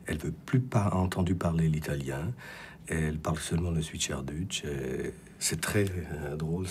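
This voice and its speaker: Very deep-voiced Swiss Italian man, hesitant. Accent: Swiss Italian (Ticino). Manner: hesitant, many fillers and restarts. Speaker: film director interviewed. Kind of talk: radio interview answers. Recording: radio studio with faint background noise.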